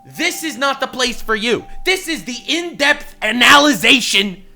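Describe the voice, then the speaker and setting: A young man reacting to a video, excitable and loud, often shouting and swearing, then dropping to a mutter. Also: very high-pitched, a little slow, soft background music. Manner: animated, swings from shouting to mumbling, swears a lot.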